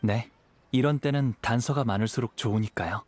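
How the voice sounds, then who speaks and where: Casting: male actor as a modest young wandering swordsman, mid-pitched, courteous and measured, anime game dialogue with clear background music. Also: darkish tone, natural voice. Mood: polite, calm. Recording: music behind the voice.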